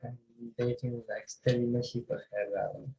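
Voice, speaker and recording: A man's voice: medium pitch, level tone, moderate pace, warm tone, slight background noise, poor audio. A man from Pakistan with a Pakistani accent, a student speaker on a panel, talking hesitantly with many "uh" and "like" fillers. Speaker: guest speaker, international student. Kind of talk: casual panel answer.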